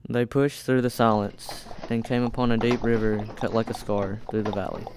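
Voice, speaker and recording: A man's voice: fairly low pitch, steady tone, normal speed, background noise. A young man reading scripted story narration for an amateur radio play. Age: teenager or young adult.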